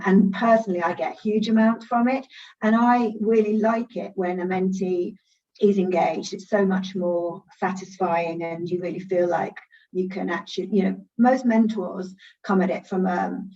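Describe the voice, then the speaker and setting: A woman's voice: fairly low pitch, a little mellow, far from the mic, muffled recording. A woman panelist on a video call, talking about mentoring in a hesitant way with many fillers. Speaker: panelist, project manager. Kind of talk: online panel talk.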